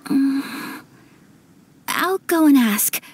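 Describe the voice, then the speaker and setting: An actress playing a gentle young noblewoman in an anime game, speaking politely and earnestly in a soft-spoken way. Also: high voice, animated, drawn-out words, exaggerated voice. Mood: polite, composed. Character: refined, gentle young noblewoman of a Japanese-style clan.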